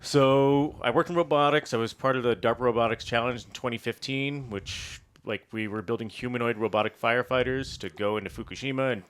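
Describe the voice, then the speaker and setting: A man's voice: medium pitch, fairly quick, few pauses, a bit bright, clean tone. A laid-back man from Las Vegas, a guest on a podcast, talking casually and rambling with lots of fillers. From Las Vegas, USA. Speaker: guest, tech developer. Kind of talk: casual interview chat.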